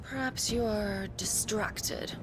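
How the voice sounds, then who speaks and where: An actress playing a haughty enemy commander in a game cutscene, measured and condescending in her taunts. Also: medium pitch, over loud music, natural voice, rough recording. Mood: mocking. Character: haughty female enemy commander, cold and mocking.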